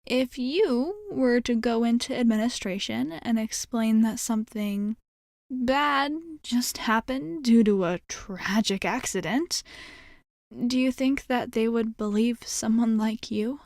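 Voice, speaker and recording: Woman doing a jittery sporty school girl for asmr roleplay, slowish halting rambling, blunt, kinda high voice. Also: a little mellow, no room sound, clean studio sound. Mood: coaxing, pleading. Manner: rambling, halting, backtracking mid-thought. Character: nervous, blunt, sporty schoolgirl baseball captain, pleading. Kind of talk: ASMR roleplay acting, addressed to the listener.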